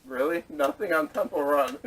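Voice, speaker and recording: A man's voice: a little high, expressive, moderate pace. A man hosting a podcast in casual, bantering conversation, picked up by a camera microphone. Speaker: podcast host. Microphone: camera audio from a distance. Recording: distant camera microphone, poor audio.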